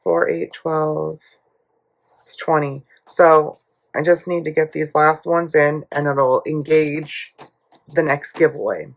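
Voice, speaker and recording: A hoarse woman telling a rambling family story in a casual budgeting vlog, full of fillers and asides. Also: low voice, steady tone, slow, poor audio. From United States. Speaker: budgeting YouTuber. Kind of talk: casual chatty vlog while cash stuffing.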